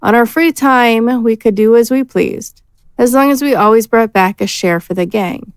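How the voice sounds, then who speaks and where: A woman's voice: a little high, moderate pace, clean tone, dry recording, close to an everyday voice. A woman playing a former bandit in a fantasy audio drama, candid, defensive and unashamed. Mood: frank, defensive. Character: frank, defiant female former bandit.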